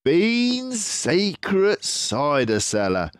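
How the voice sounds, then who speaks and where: Man playing an assured father fox, raised pitch. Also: wildly swooping pitch, drawn-out words, clean tone, no echo, clean studio sound, full character voice. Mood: pleased, cautioning. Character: commanding, enthusiastic adult father fox, male.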